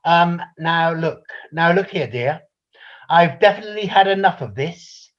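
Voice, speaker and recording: A woman's voice: fairly low pitch, a little slow, lightly acted. A woman playing an indignant, exasperated pet-shop customer in an amateur radio comedy, complaining insistently with pompous formality. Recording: dull, band-limited recording.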